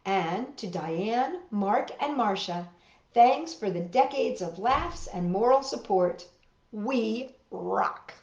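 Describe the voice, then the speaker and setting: A woman hosting storytime, reading a picture book aloud in an expressive, exaggerated way with drawn-out words. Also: medium pitch, roomy sound, lo-fi sound. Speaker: children's storytime host and reader.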